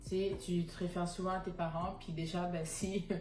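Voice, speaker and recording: A young woman from Montreal thinks aloud and works her way hesitantly toward her points. Her voice is somewhat low and echoes in the room, with faint music underneath. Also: little pitch movement, moderate pace, a little mellow. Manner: reflective, hesitant, heavy use of "genre". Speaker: panel guest. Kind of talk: casual discussion.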